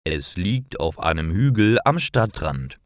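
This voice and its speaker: A man narrating simple scripted stories for language learners, reading carefully in clearly phrased short sentences. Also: low voice, big pitch swings, a little slow, no room sound, studio quality.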